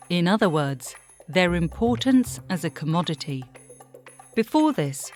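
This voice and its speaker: A woman reading scripted documentary narration in a measured, formal, explanatory way. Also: fairly low pitch. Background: faint music.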